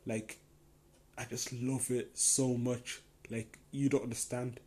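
A man's voice: mid-range voice, level tone, slow, darkish tone. A young man who grew up in Denmark, hosting a casual solo podcast Q&A and talking in a rambling, enthusiastic way full of fillers. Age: young adult. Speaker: podcast host.

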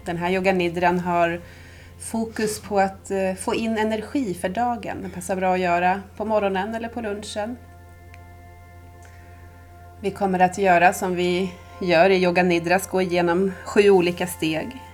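Female meditation guide, slightly low register, soothing and unhurried, clear music bed underneath. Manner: calm, unhurried, soothing, with long pauses. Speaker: yoga nidra instructor.

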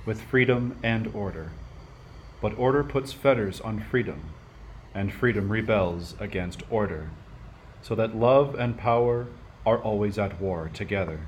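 A man playing a stately, commanding warrior king in a radio play, measured and declamatory. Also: fairly low pitch, a little hiss or hum, close to an everyday voice, poor audio. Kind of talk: amateur radio play acting.

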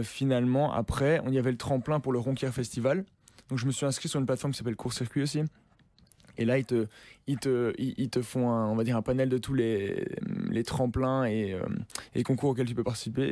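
Young musician dude rambling casually, warm low-key tone, dry close sound. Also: male voice, no room sound. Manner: relaxed slangy talk with many fillers and restarts. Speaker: musician guest. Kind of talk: casual interview chat.

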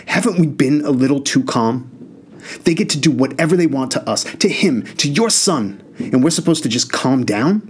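A man's voice: medium pitch, animated, slow pace, few pauses, a little hiss or hum, voice pushed up. A man voicing an angry young man who is outraged on behalf of his younger brother, speaking in heated, rising outbursts. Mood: furious. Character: furious young man, protective older brother.

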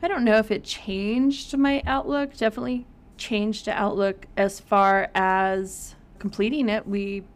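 A woman's voice: medium pitch, fairly even intonation, unhurried, clean tone. Reflective middle-aged woman co-host. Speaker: podcast co-host, counselor. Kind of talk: casual podcast chat.

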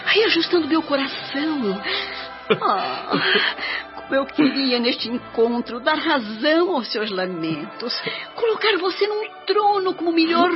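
A woman's voice: sits high, sing-song, unhurried. An actress with a Brazilian accent playing a tender, pious spirit mother who speaks solemnly to her son in a melodramatic radio drama. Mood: tender sorrow. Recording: muffled radio drama with music behind.

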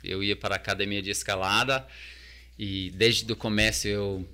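A man with a fairly low but bright, forward voice, speaking as a talker. He chats casually as an interview guest, hesitant and often restarting with repeated words. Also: flat delivery, moderate pace, clear, resonant tone. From New Zealand. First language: English. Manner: hesitant, repeats words while searching for Portuguese.

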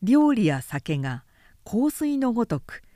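A woman's voice: on the high side, very animated, measured pace, warm tone, no room sound, studio quality. A woman narrating a literary audiobook in a composed, dramatic storytelling manner.

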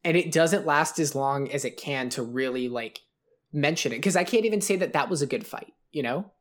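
Podcast co-host, mid-pitched voice, casual, rambling film critique. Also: normal speed. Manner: analytical, rambling with fillers. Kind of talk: casual film review chat.

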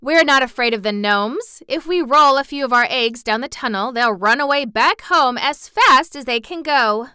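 Woman as a cocky, high-pitched yellow hen. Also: expressive, normal speed, few pauses, smooth, no room sound, clean studio sound. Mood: scornful. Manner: brash, dismissive. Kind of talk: storybook character voice.

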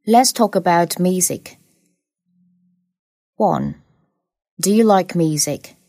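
Mellow woman reading exam questions. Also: lowish voice, slow, dry recording, studio quality. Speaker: examiner.

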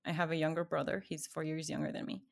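A woman's voice: deep voice, completely flat delivery, fast talker, creaky. A woman from Ecuador, probably in her thirties or forties, talking casually and expressively in an informal conversation.

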